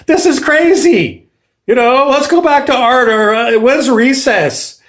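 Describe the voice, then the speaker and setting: Guy doing a confused whiny school kid, high pitched, fed up and complaining, casual story. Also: unhurried, fairly bright tone. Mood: baffled, exasperated. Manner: exasperated, complaining. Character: baffled, whiny ten-year-old pupil wanting recess.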